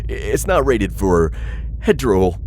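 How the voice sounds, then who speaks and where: Man acting as a flustered alien bounty hunter, wildly expressive. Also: fairly low pitch, takes it slowly, slight background noise. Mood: nervous, urgent. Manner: flustered, hesitant, formal and wordy. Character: anxious, pleading insectoid alien bounty hunter, tied up. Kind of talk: scripted audio roleplay addressed to listener.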